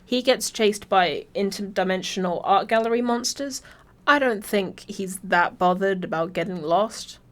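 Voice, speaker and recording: A woman from Birmingham with an English accent reads a scripted video essay in a wry, informal, sweary way. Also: on the low side. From Birmingham, England.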